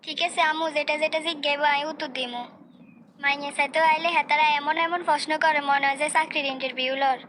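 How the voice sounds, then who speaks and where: A woman's voice: high-pitched, steady tone, quick pace, sharp tone, slight background noise. A woman with a Noakhali Bengali accent voicing a dignified, outspoken young bride-to-be in a comic cartoon. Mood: polite. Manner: polite but firm.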